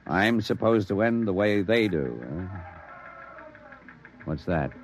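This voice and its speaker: An actor playing a curt, impatient American military general in a 1950s radio drama. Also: male voice, low-pitched, expressive, slow, faint music behind. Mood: businesslike. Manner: curt, commanding, dismissive. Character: brusque, impatient American military general running a bomb test. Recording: noisy old radio broadcast recording.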